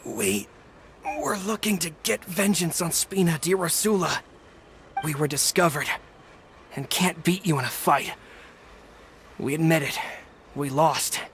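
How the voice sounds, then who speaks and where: Surly, resentful street thug. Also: male voice, on the high side, lively intonation, measured pace, pauses often, fairly bright tone, slight background noise. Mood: bitter. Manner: surly, defiant. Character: resentful male street thug bent on revenge. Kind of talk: video game character acting.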